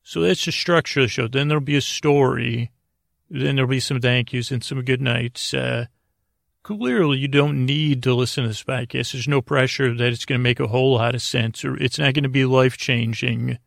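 A middle-aged man with a creaky, gravelly voice hosts a sleep podcast, rambling in a halting, meandering bedtime monologue that keeps interrupting itself. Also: mid-range voice, no echo, clean studio sound. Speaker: podcast host.

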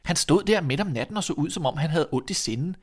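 A man's voice: a little high, expressive, pitched above the performer's own voice. A man voicing a naive, fearless young son in a fairy tale, plain-spoken and insistent. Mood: innocent, explaining. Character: dim-witted fearless youngest son, earnest and naive boy.

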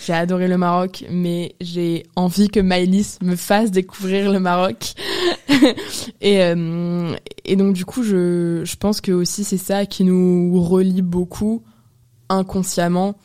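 A woman's voice: on the low side, fairly even intonation, takes it slowly, a little mellow. A young woman from France hosting a podcast, interviewing in a casual, conversational way. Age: young adult. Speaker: podcast host.

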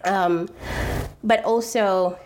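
Woman, American accent, thirties, middle pitch, earnest, reflective, self-correcting, interview guest. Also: steady tone, extremely slow, darkish tone, slight background noise. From United States. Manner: earnest and thoughtful, frequent restarts and fillers. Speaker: food video creator, guest.

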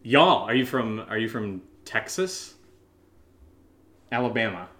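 A man's voice: fairly low pitch, lively intonation, a little slow, a bit bright. A man with an American accent chatting casually as a podcast co-host, playful and teasing. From Connecticut, US. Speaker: podcast co-host, audio drama creator. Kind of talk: casual Q&A chat.

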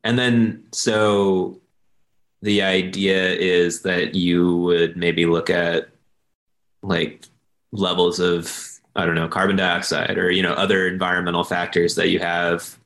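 Podcast guy asking questions, super deep gravelly voice, kinda monotone. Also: takes it slowly. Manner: loose, hesitant phrasing. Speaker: podcast host. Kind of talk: casual interview questions.

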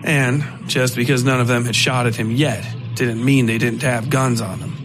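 A man narrates a scripted horror story for a podcast, in a middle-pitched voice. Music runs clearly behind the narration. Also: fairly even intonation, moderate pace.

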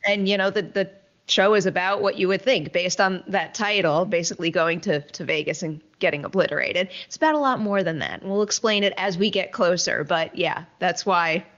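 A woman's voice: fairly low pitch, a little fast, a bit bright, highs cut off. A woman hosting a casual entertainment news show, chatting in an enthusiastic, effusive way into a studio microphone. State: a little sleepy. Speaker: show host.

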